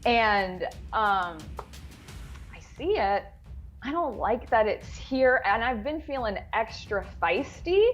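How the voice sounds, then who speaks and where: A woman's voice: a little high, lively intonation, slow pace, muffled recording, soft background music. A woman chatting informally and playfully as a player in a tabletop game. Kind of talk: casual in-game chat.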